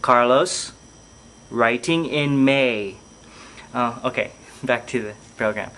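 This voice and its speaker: A man's voice: medium pitch, slow, sharp tone. Man, reflective vlog monologue, hesitant, thinking aloud, light room noise. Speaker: filmmaker and podcast host.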